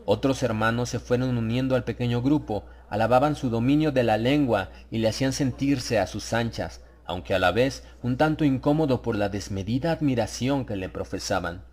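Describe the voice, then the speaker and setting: A man reads a scripted horror story as a dramatized audiobook. His voice sits at a middle pitch, and he tells the tale in a measured, literary way. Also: a little mellow. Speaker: audiobook narrator.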